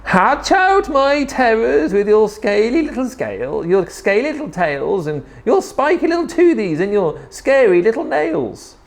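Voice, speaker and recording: A huge, doting and dim-witted storybook dinosaur mum, put on by a man, crooning in a high singsong. The pitch swoops around as she fusses. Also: very animated, a little slow, vocal fry, few pauses, heavily acted. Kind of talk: children's storybook character voice.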